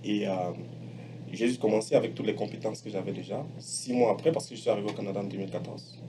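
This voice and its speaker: Low-voiced man, halting, over background noise. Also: fairly even intonation. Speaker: entrepreneur, electronics technician by training. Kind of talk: personal testimonial, explaining his career. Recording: clearly noisy background ambience.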